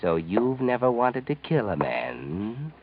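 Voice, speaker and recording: An American man playing a sinister, all-knowing narrator of dark tales in a 1940s radio mystery, deliberate and taunting as he addresses the listener. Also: medium pitch, very animated, background noise. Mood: sly menace. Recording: dull vintage radio recording, music behind.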